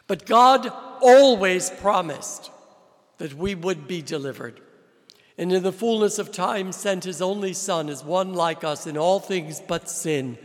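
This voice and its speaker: A man preaching a sermon as a visiting priest, from New Jersey, with slow, deliberate delivery and emphatic rises. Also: sits high. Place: large church with long reverb. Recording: reverberant church hall.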